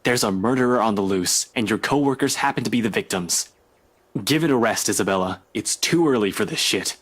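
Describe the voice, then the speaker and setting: A man's voice: lowish voice, expressive, fairly quick, fairly bright tone, slightly rough. An actor playing an irritable, dismissive male police investigator in a visual novel game, speaking curtly and bluntly. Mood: exasperated, dismissive. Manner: curt, exasperated, dismissive.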